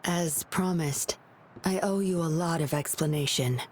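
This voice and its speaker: A guarded woman patroller from a video game, speaking in a low voice. She is composed and wry, with a solitary streak. Game ambience runs noticeably behind her. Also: unhurried. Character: guarded, teasing female patroller with a lonely streak. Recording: clear ambient noise behind voice.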